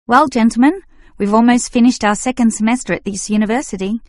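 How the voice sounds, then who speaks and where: A woman acting an agreeable, opinionated university student in a scripted exam dialogue, speaking in a measured, clear way. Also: on the high side, dry recording, clean studio sound, close to an everyday voice. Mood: agreeable, reasoning. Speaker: student in a listening test dialogue.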